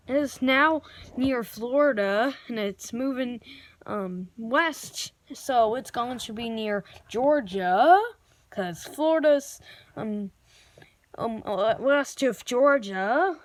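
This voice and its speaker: A woman improvising mock emergency news and weather bulletins in a halting, stumbling amateur announcer delivery. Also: on the high side. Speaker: amateur video creator playing broadcast announcer.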